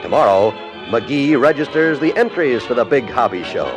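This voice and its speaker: A man's voice: medium pitch, expressive, normal speed. A man announcing in scripted 1950s radio style. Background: music.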